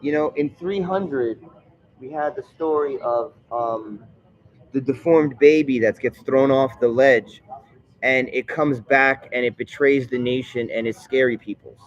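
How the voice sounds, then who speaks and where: A man talking in a casual vlog monologue, rambling and digressive, often halting mid-thought. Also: mid-range voice, lo-fi sound. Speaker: vlogger.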